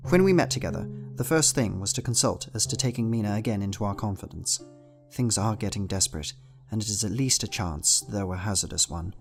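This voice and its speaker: A man's voice: fairly low pitch, brisk, creaky. An actor playing a weary young Englishman, solemnly reading his journal in a Gothic audio drama. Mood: anxious resolve. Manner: solemn, literary narration. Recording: music behind the voice. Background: plucked string music.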